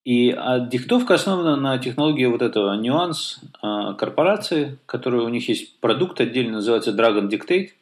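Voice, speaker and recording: A man's voice: medium pitch, lively intonation, fairly quick, hardly any pauses. Hesitant Russian-speaking man, tech chat. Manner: hesitant, many fillers and restarts. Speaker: podcast co-host.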